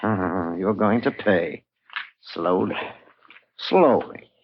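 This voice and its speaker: A man's voice: low voice, lively intonation, slow, breathy edge, exaggerated voice. An actor playing a spiteful villainous sheriff in an old-time radio drama, sneering and drawing out his taunts with relish. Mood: gloating menace. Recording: tinny, band-limited old radio recording.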